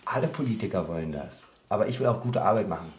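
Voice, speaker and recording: A man's voice: on the low side, lively intonation, quick pace, very noisy, close to an everyday voice. A man playing a disgruntled, needy politician, grumbling wearily in a naturalistic radio drama. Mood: grumbling, fed up. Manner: grumbling, weary. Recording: roomy kitchen, loud clattering dishes.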